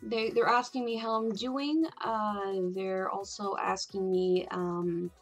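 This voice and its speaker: A woman with a husky, gravelly voice chatting casually on a livestream, rambling and reading out messages. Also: mid-range voice, steady tone, slow, soft background music. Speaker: co-host of a morning livestream.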